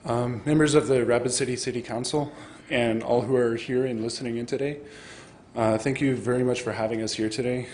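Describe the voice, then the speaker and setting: A young man from South Dakota, a college student, delivering a prepared comment to a city council, polite and a bit nervous, with a few restarts. His voice is mid-pitched, with faint room noise around it. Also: fairly even intonation, brisk. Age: young adult. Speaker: student fraternity president.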